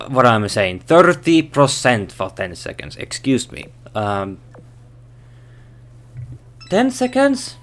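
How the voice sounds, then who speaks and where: Slow, hesitant man. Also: medium pitch, huge pitch swings. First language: Finnish. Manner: hesitant, thinking aloud, correcting himself. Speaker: game reviewer. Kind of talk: unscripted game review.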